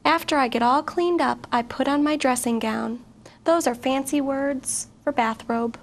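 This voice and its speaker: A middle-aged woman teacher reading a picture book aloud to children, expressive and asking young listeners questions. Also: high voice, unhurried. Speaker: guest reader, teacher.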